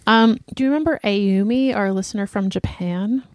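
A woman's voice: mid-range voice, moderate pace, no echo, studio quality. A woman in her thirties co-hosting a podcast, chatting casually and reading listener emails aloud. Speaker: podcast co-host. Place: Los Angeles.

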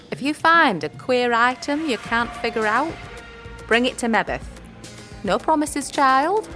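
Lady doing an old village witch, folksy, teasing, gruff but kindly, fantasy audio drama with music under it. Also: a little high, fairly bright tone. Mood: generous, folksy. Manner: folksy rustic dialect speech, teasing.

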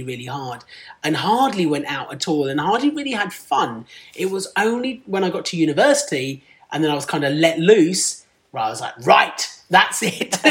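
Laughing, chatty man in his forties. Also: sits high. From Kent, England. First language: Punjabi. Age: 40s. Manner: laughs often, lively storyteller. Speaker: guest. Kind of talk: casual interview chat.

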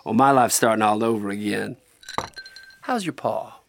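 A man's voice: lowish voice, moderate pace, creaky, fairly bright tone, a little hiss or hum. Flirtatious Southern country man. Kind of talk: old-time radio drama acting.

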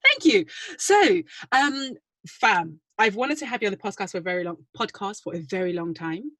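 A woman's voice: medium pitch, animated, moderate pace, a bit bright, dry recording. A woman hosting a podcast, moving between scripted introduction and informal interview chat. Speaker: podcast host.

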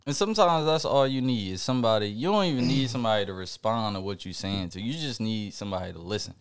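A young man co-hosting a podcast, talking in a reflective, halting way with many fillers and restarts. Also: lowish voice, lively intonation, smooth. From Puerto Rican family background. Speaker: podcast co-host. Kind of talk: casual podcast chat.

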